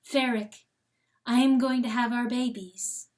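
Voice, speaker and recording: A woman voicing a gentle but firm female coyote wife in a storybook reading, soothing in a hushed, whispering manner. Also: fairly high pitch, fairly even intonation, measured pace, far from the mic, close to an everyday voice. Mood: tender, hushed.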